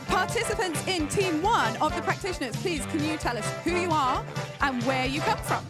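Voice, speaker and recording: A woman's voice: high voice, lively intonation, moderate pace, loud music behind the voice. A woman hosting a live game show at a conference in a playful, teasing way.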